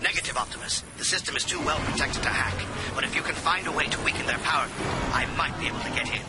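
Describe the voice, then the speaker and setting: A man voicing a brisk, practical robot medic in a hurried, businesslike manner. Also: mid-range voice, heavy background noise, natural voice. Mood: matter-of-fact.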